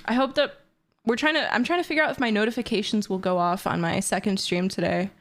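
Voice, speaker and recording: A woman in her twenties chatting excitedly and bubbly on a livestream from her bedroom. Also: medium pitch, fast. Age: 20s. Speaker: streamer. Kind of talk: casual livestream chat.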